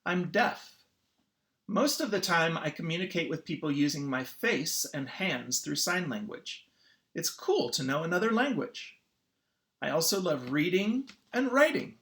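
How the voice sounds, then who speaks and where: Someone reading a children's picture book aloud in an expressive, teacherly way. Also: mid-range voice, unhurried. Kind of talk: children's read-aloud narration.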